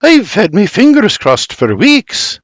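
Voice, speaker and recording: A man's voice: fairly high pitch, wildly swooping pitch, measured pace, no echo, full character voice. A man voicing a kind, motherly middle-aged farm wife who speaks tenderly.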